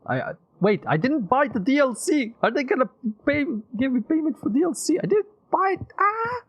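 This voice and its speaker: A man with an Eastern European accent giving casual, animated and rambling live commentary as he streams a game. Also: extremely high pitch, normal speed, lo-fi sound.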